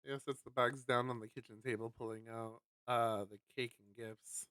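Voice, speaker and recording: A man streamer reading a visual novel aloud and adding chatty, animated asides. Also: mid-range voice, normal speed, dry recording.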